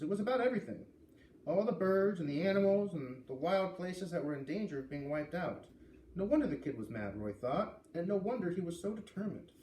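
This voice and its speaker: Roomy, mellow-voiced man reading a story aloud. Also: fairly high pitch. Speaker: reader of a children's novel aloud. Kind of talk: read-aloud storytelling with acted dialogue. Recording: roomy, distant mic with light noise.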